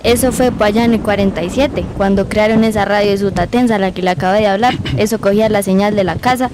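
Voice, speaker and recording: Old rural Colombian grandmother played by a woman, nostalgic and plainspoken, radio drama, mid-pitched, over clearly noisy rain. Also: steady tone, fast, close to an everyday voice. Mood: nostalgic storytelling. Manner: folksy rural storyteller speech. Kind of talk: amateur radio drama acting.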